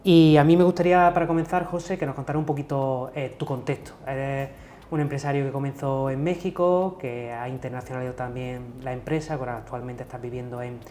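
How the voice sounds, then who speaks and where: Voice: mid-range voice, warm tone, a little hiss or hum. Chatty host. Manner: chatty, informal, many fillers. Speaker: interview host. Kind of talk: casual interview hosting.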